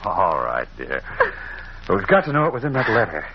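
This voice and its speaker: An actor playing a probing but affectionate amateur sleuth in an old radio mystery, conversational yet insistent. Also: male voice, low voice, huge pitch swings, brisk. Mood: thoughtful. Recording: muffled, noisy old radio recording.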